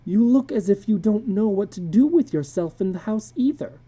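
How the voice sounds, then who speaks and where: A woman's voice: mid-range voice, animated, normal speed, warm tone, slightly rough, voice pushed up. A woman voicing a frightened, pleading housewife in a dramatic story reading, anxious and insistent.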